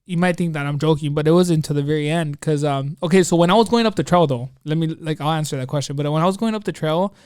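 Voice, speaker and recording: Higher-voiced man telling a story, clean and dry. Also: quick pace, few pauses, clean tone, no room sound. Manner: excitable, rambling, peppered with slang and quoted asides. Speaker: podcast co-host telling a personal story. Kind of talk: casual chat, anecdote storytelling.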